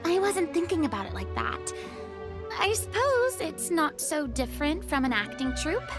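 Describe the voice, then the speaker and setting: High-voiced, earnest, gentle newcomer. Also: female voice, very animated, moderate pace, over music. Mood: thoughtful. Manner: hesitant, thoughtful, courteous. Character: polite, earnest young woman performer, modest newcomer. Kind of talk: anime game voice acting.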